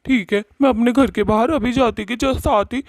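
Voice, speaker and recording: A man's voice: very high voice, moderate pace, gravelly, no room sound. A man voicing a fearful old villager in a cartoon story, repeating words in a pleading, agitated way. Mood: terrified pleading. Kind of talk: Hindi cartoon story voice acting.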